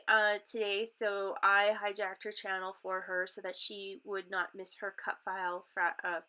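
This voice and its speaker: Bright-voiced woman, stuffy from a cold. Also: mid-range voice. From Canada. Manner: chatty, rambling, many ums. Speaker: craft vlogger. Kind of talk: casual crafting voice-over.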